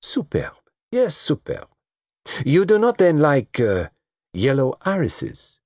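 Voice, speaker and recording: A man voicing a gallant, shrewd middle-aged detective with a French accent, speaking in courteous, measured, formal phrases in an audiobook story. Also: a little high, wildly swooping pitch, a little mellow, no room sound, studio quality, pitched above the performer's own voice, exaggerated voice. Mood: probing.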